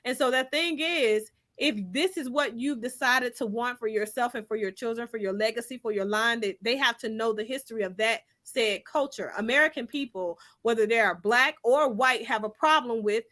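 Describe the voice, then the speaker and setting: A woman's voice: a little high, moderate pace, few pauses, a bit bright, no room sound, clean studio sound. A woman from Finland speaking in an opinionated discussion, making her points in an emphatic, insistent and argumentative way.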